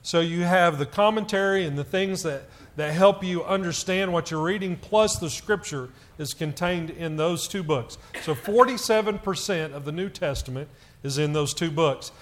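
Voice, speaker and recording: A middle-aged man with a fairly high, loud voice gives a church announcement to the congregation. He talks in a halting way, often filling gaps with "uh" and restarting his sentences. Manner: loud voice, halting with many fillers. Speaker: church elder.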